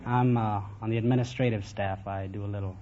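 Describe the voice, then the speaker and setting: A man's voice: lowish voice, fairly even intonation, a little fast, mellow. An American man in his twenties, a quiz contestant giving brief, matter-of-fact answers on an old radio show. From California, United States. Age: 20s. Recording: dull vintage radio, faint hiss.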